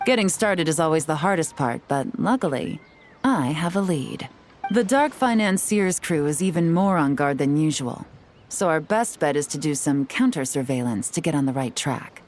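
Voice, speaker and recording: A woman voicing a cool, confident female spy in a game, smooth and teasing as she lays out her plans. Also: deep voice, animated, normal speed, slight background noise. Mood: wry. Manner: smooth, teasing, self-assured. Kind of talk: video game voice acting. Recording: game audio with soft music behind.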